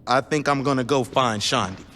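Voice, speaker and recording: A man playing an excitable young gangster sidekick in a video game, animated and full of complaint. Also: medium pitch, normal speed, big-room sound, a little hiss or hum, natural voice. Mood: wary, teasing. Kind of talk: video game cutscene acting.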